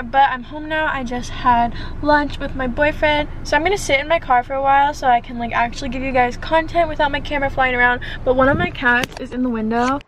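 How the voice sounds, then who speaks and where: A woman's voice: high voice, background noise. A young adult woman, chatting casually to a camera inside a car as a vlogger. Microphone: camera on dashboard. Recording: loud music, inside a car.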